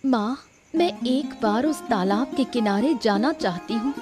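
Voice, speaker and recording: A woman's voice: on the high side, expressive, unhurried, music in the background. A woman voicing a distressed young daughter in a cartoon story, plaintive and insistent. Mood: pleading. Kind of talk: animated moral story dramatization.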